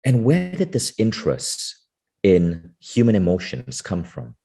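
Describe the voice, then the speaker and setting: Low husky-voiced podcast host man. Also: wildly swooping pitch, measured pace, warm tone. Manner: enthusiastic, effusive introductions. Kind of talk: podcast interview.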